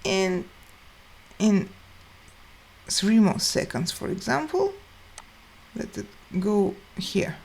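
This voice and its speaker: A woman hosting a step-by-step software tutorial, speaking in short, halting phrases with pauses. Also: mid-range voice, vocal fry, husky. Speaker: tutorial host.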